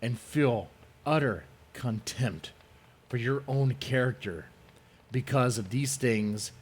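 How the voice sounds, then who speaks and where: A man giving a dramatic reading in a theatrical, scornful manner, leaning on words with deliberate, drawn-out emphasis. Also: mid-range voice, slight background noise. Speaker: reader of an online post.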